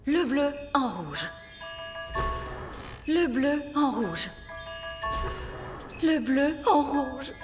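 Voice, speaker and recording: A haughty cartoon fairy nanny, high-voiced and arch. Her intonation is lively yet drily knowing, with music playing behind in a reverberant mix. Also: very slow, long pauses, full character voice. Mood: self-assured. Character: dry, haughty middle-aged fairy nanny. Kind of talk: children's cartoon dubbing. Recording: music bed, long reverb.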